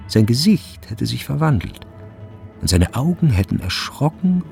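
A man with a husky, gravelly voice narrating a literary radio drama from a script. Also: mid-range voice, big pitch swings, measured pace, darkish tone, faint music behind. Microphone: close, dry studio recording.

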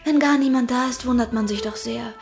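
A woman's voice: fairly high pitch, brisk, gravelly, music in the background. An actress playing a flippant, worldly young woman in a German radio drama, theatrical and ironic, hiding hurt behind breeziness. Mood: giddy, ironic.

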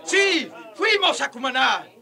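An actor playing a scheming, haughty colonial governor in a radio drama, pompous and dismissive. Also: male voice, extremely high pitch, big pitch swings, slow pace, creaky, pushed and bright, a faint crowd, full character voice. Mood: contemptuous, threatening.

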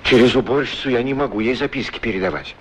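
A man's voice: medium pitch, fast talker, natural voice. An actor playing a lonely middle-aged shoeshine man in a radio play, grumbling yet wistful and proudly polite. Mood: hesitant, hopeful. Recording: noisy 1960s radio recording.

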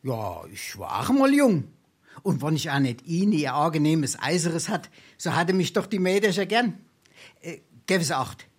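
A man's voice: fairly high pitch, big pitch swings, normal speed, breathy edge. A male actor with a Darmstadt Hessian accent playing a wily, boastful penniless scrounger in a dialect stage comedy, theatrical and full of dramatic hesitation. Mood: wistful, dramatic. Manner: theatrical, braggartly, hesitating for effect.

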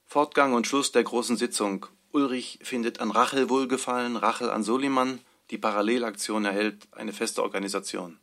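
A man's voice: mid-range voice, steady tone, moderate pace, hardly any pauses, a bit bright. A man reading out chapter headings as a scripted announcement in a literary radio play.

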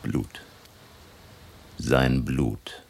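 An actor playing a grave, commanding older professor of medicine in a radio drama, speaking tersely and deliberately with a gravelly voice. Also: male voice, fairly even intonation, background noise, full character voice. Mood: grim, urgent. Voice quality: deep, gravelly. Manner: slow, terse, instructing.

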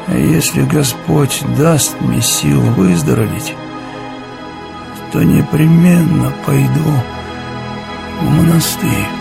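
A man's voice: a little high, slow pace, gravelly, pauses often, over music, heavily acted. An actor in a radio play as a devout young man, a student who speaks hesitantly and earnestly. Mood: weak, solemn. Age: young adult.

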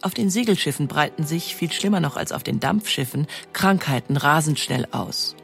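A woman's voice: low-pitched, lively intonation, moderate pace, gravelly, fairly bright tone, soft background music. A woman giving scripted documentary narration as the narrator of an audio feature.

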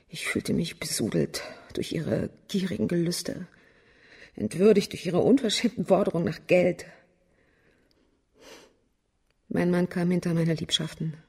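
An actress playing a bitter, world-weary murderess in a German radio crime drama, speaking haltingly and often sinking into whispers. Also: female voice, on the low side, darkish tone, slightly rough, exaggerated voice. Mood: pained, disgusted.

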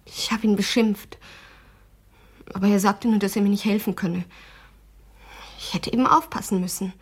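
A bitter young woman under police questioning in a radio play. Her medium-pitched voice is husky and breathy, her answers terse and resentful. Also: fairly even intonation, moderate pace. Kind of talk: radio drama acting.

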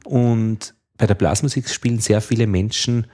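A man's voice: on the low side, normal speed, mellow. A man hosting an interview, asking rambling, playful questions. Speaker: podcast host, interviewer. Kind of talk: conversational interview.

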